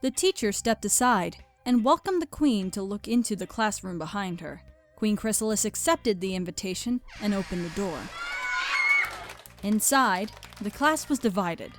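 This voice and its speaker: A woman's voice: medium pitch, lively intonation, normal speed, soft background music. A woman reading scripted story narration.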